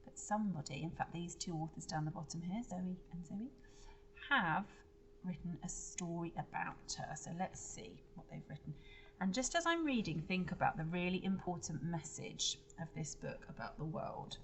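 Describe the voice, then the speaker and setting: A woman's voice: fairly low pitch, expressive, normal speed, a little hiss or hum. A woman reading a storybook aloud to children like a teacher, expressively, with explanatory asides and questions to her listeners.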